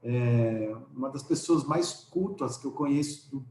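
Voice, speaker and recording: A man's voice: medium pitch, unhurried, a little mellow, echoey room, a little hiss or hum. A man speaking as a guest law professor in a live academic talk, friendly and joking, with hesitant fillers. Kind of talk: casual academic chat. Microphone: video call.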